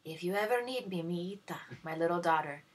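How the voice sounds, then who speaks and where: A woman's voice: lowish voice, quick pace, lightly acted. Woman reading as a tender, motherly older helper. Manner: affectionate, soothing.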